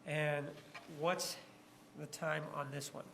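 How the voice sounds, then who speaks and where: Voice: medium pitch, level tone, takes it slowly, fairly bright tone. A lawyer questions a witness in an echoing courtroom, with faint noise behind. The voice sits in a middle register. The questions are pointed and leading, often closed with a short tag demanding agreement. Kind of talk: courtroom cross-examination. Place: large indoor courtroom.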